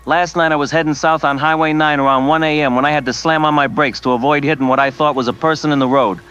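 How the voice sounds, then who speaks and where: A man's voice: a little high, steady tone, quick pace, fairly bright tone, smooth, close to an everyday voice. A man playing a rattled veteran truck driver calling into a radio show in an audio drama. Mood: rattled, earnest. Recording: dull lo-fi call-in sound.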